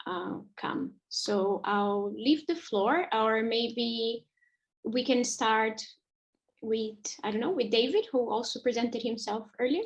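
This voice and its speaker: A woman with a fairly high voice opens a panel discussion online. She asks her question politely, hesitating with small fillers. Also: low-quality recording. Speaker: panel organiser asking questions. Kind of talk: moderating a panel.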